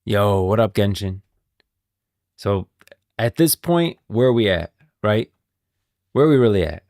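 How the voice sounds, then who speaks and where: A man's voice: on the low side, expressive, unhurried, darkish tone, no room sound, studio quality. A man hosting a game stream with casual, slangy commentary, repeating himself for emphasis.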